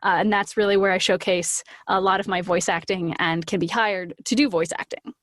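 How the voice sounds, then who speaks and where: A woman's voice: medium pitch, steady tone, a little fast, a bit bright, no echo, studio quality. A woman voice actor as a podcast guest, chatting casually and enthusiastically about her work. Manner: bubbly, enthusiastic, breaks into acted quotes. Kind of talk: casual, animated interview chat.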